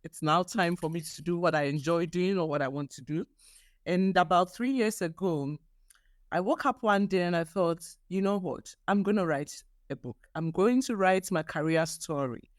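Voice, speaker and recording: Woman, 60s, from Ghana, guest author; measured and earnest, restarts words, dry close sound. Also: fairly low pitch, no room sound. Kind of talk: podcast interview.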